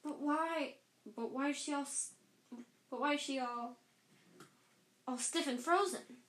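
A woman's voice: big pitch swings, slow pace, a bit bright, echoey room, heavily acted. A woman reading aloud, voicing a bitter old male caretaker who shrieks and spits out accusations. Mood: choked. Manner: shrill, choked and spitting accusations. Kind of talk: read-aloud storybook voice.

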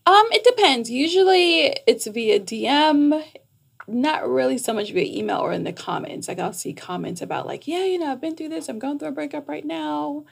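A woman's voice: high voice, expressive, normal speed, gravelly. A woman who grew up in Jamaica, a psychotherapist explaining her work in a casual podcast interview. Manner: articulate, explanatory, laughs easily. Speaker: psychotherapist guest.

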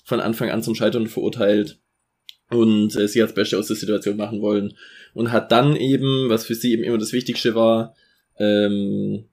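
A man's voice: on the low side, flat delivery, normal speed, darkish tone. A man co-hosting a podcast, recapping a series episode in a rambling way with many fillers. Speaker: podcast co-host summarizing an episode.

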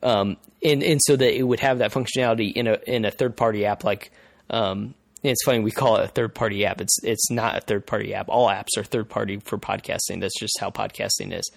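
A male podcast host explaining things in casual conversation, haltingly, repeating phrases as he thinks aloud. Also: on the low side, steady tone, vocal fry, no room sound, studio quality.

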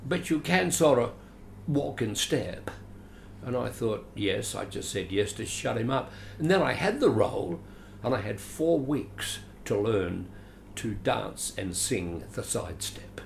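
Brief: older Australian actor, gravelly, theatrical storyteller, roomy home recording. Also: male voice, mid-range voice, unhurried. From Adelaide, Australia. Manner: animated, theatrical, chuckling. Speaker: guest, veteran actor. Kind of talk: storytelling interview. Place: his home. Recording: roomy echo, faint hiss.

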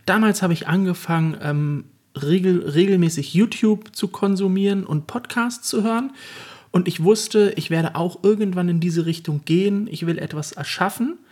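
A man's voice: high voice, moderate pace, darkish tone. A man co-hosting a podcast, telling his story in an earnest, reflective way. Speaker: podcast co-host. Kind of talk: casual podcast chat.

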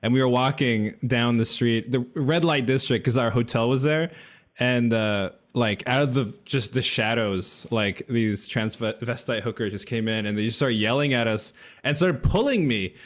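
A man's voice: mid-range voice, moderate pace. A man in casual chat, laid-back and laughing often while he tells stories.

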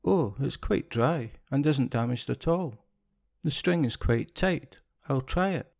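A mischievous little boy from a storybook, put on by a male reader, cheeky and boastful in a grainy, gravelly tone. The playful lines come in a bright, teasing manner. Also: mid-range voice, lively intonation, measured pace, pitched above the performer's own voice.

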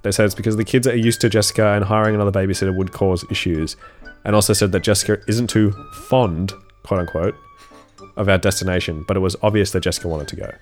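A man's voice: on the low side, steady tone, fast, soft background music. A young man co-hosting a casual podcast, congested and sniffling, laid-back and swearing, stumbling as he reads a forum post aloud. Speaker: podcast co-host.

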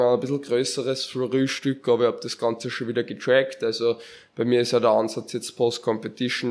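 A young man with an Austrian accent, congested from a head cold, chatting casually and a bit hesitantly as a solo podcast host. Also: medium pitch.

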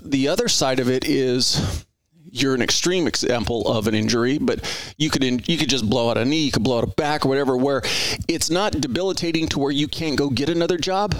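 A man's voice: mid-range voice, dry recording, low-quality recording. A middle-aged man hosting a podcast, talking earnestly in a rambling, conversational way with frequent restarts. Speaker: podcast host. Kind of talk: conversational interview.

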